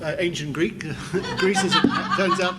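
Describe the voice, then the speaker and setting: A man's voice: high voice, wildly swooping pitch, a little slow, very noisy. A man with an Australian accent introducing himself as a panel guest, joking and amused.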